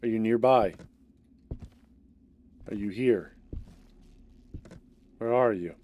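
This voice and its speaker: A man's voice: on the low side, takes it slowly, highs cut off. A man streaming a horror game, chatting casually with a friend and muttering to himself with sighs. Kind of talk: casual gaming chat.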